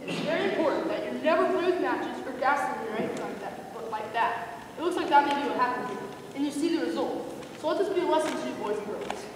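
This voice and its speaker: A woman's voice: high voice, lively intonation, fast talker. A woman playing an earnest, lecturing official in a readers theater skit. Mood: stern lecturing. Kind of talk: readers theater stage acting. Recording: noisy echoey hall, far from mic.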